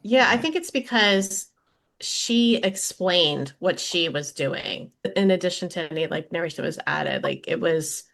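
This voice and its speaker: A woman's voice: lowish voice, a little slow, gravelly, fairly bright tone. A woman who voice acts, chatting casually on a panel. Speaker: voice actor.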